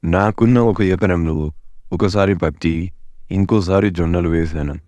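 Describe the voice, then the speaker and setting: A man's voice: low voice, unhurried, darkish tone, dry recording. A man playing a troubled, unlucky poor farmer in a cartoon story. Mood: downcast.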